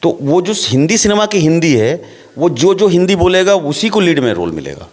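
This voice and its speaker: A middle-aged man, an actor, talking in a relaxed interview and laying out his points with rhetorical questions. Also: on the high side, moderate pace. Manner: explains at length with rhetorical questions.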